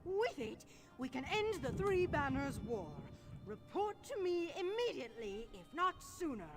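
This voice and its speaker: A woman's voice: sits high, very animated, unhurried, over music, voice pushed up. A woman putting on an imperious, pompous old male wizard with grand, commanding delivery in a fantasy video game.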